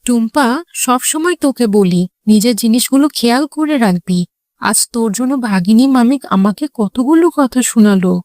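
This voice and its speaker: A woman's voice: a little high, animated, moderate pace, a little mellow, no room sound, clean studio sound, close to an everyday voice. A woman voicing an exasperated mother fox in a children's cartoon, nagging and scolding.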